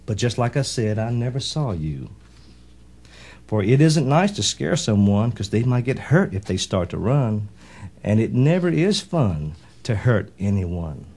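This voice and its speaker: A man voicing a gentle, apologetic motherly female cow who explains herself in children's storybook rhyme. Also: on the low side, sing-song, a little slow, exaggerated voice. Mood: gentle, sorry. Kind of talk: rhyming children's storybook character.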